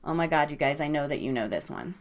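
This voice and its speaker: A woman with an excitable, informal manner, chatting casually as the live host of a guessing game and cheering on players in slangy language. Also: low-pitched, fast. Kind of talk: casual live radio game-show chat.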